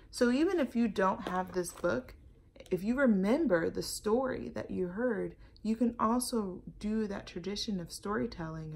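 A woman in her thirties reading a children's picture book aloud, deliberate and expressive, recorded at arm's length at home. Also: on the low side, vocal fry, slightly rough. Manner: animated, deliberate, emphatic storytelling. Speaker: storytime host. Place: home living room and kitchen, indoors.

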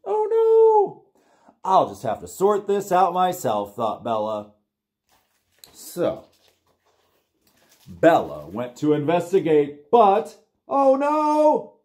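A middle-aged American man reading a picture book aloud to young children in an animated, encouraging way. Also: high voice, slow pace, long pauses. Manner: animated, exclaiming, simple encouraging talk to little ones. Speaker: children's librarian reading online storytime. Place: small plain room indoors.

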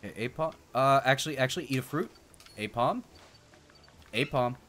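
Animated, bright-voiced man streaming a game. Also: mid-range voice, normal speed. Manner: profane, jokey banter. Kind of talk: casual gameplay commentary. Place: indoor streaming setup. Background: game music.

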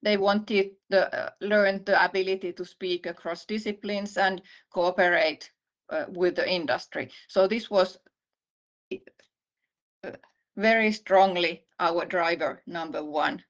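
Vice-dean, middle-aged woman, Finnish accent, low-pitched, halting measured delivery, dull video-call sound. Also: steady tone. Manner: measured, many "uh" pauses and restarts. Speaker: university vice-dean presenting. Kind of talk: online conference presentation. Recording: slightly muffled video call audio.

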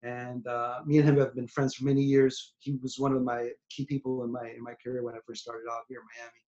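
A man's voice: mid-range voice, little pitch movement, fast. A man in his forties from New York, a singer-songwriter chatting in an interview from a small room at home, often restarting and trailing off. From New York City. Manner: rambling, many restarts, trailing off quietly. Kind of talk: casual interview over video call. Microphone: webcam on video call. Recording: dull lo-fi video call audio.

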